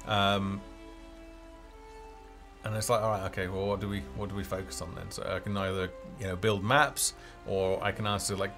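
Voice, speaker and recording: A man's voice: sits low, level tone, normal speed, vocal fry, a bit bright. A man hosting a casual game developer live stream, talking off the cuff in a hesitant, rambling way as he thinks aloud. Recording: music playing behind the voice.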